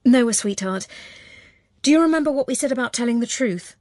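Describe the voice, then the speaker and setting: A woman voicing a highly strung, protective mother in an audiobook, with a tense, insistent manner. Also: animated, a little fast, dark tone, no echo, studio quality, natural voice. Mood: agitated.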